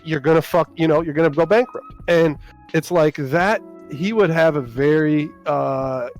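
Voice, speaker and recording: A man co-hosting a casual podcast, speaking haltingly with many restarts. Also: a little high, soft background music. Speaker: podcast co-host. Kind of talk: casual chat.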